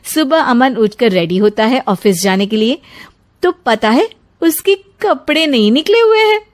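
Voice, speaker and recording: A woman narrates a scripted moral tale. Her voice sits fairly high as she tells the story in the role of narrator. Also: expressive, a little slow.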